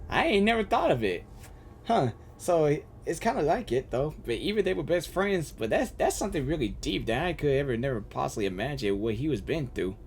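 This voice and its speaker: A man giving casual live reaction commentary as a gaming streamer, halting and repeating himself. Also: fairly high pitch, a bit bright, a little hiss or hum. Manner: halting, repeats phrases while thinking aloud.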